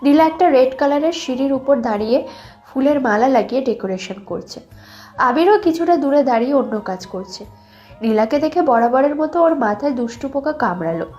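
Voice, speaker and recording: A woman's voice: sits high, animated, fairly quick, a little mellow, muffled recording, faint music behind. A woman reading scripted romantic fiction as a storyteller. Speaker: narrator.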